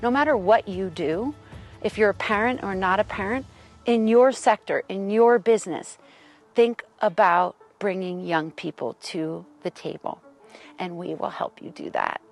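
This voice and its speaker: A woman's voice: medium pitch, a little slow, faint music behind. Earnest woman urging viewers. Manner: warm, urging and thankful. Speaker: organizer addressing viewers.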